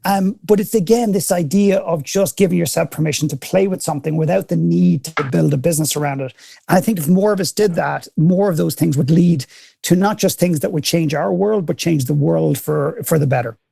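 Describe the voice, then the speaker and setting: A man talking reflectively, with a fairly high, deeply gravelly voice. His tone is dark and mellow, and he pauses and restarts as he thinks. The recording is dry and close. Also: dry recording. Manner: thoughtful, hesitant restarts. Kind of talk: reflective talk in a group audio chat.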